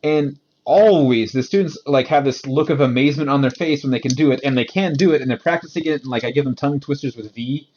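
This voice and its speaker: A man who teaches English in Japan, chatting casually on a podcast and explaining with animated frustration. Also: mid-range voice, quick pace, hardly any pauses, muffled recording, a little hiss or hum.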